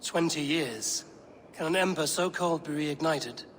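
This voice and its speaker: An actor playing a stalwart Viking warrior in a video game, plain-spoken, terse and firm. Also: male voice, fairly high pitch, a little slow, a bit bright, a little hiss or hum. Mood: resolute. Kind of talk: video game cutscene acting. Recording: prominent music behind the voice.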